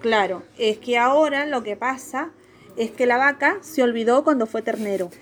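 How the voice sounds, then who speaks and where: Peruvian lady w mixed spanish accent, middle-aged, chatty and salty, laughs a lot, higher voice. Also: normal speed, faint music behind. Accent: Peruvian Spanish mixed with Andalusian and Madrid Spanish. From Peru. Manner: lively, swears freely, mimics voices and laughs often. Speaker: interviewee. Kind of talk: casual storytelling interview. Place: Seville, Spain.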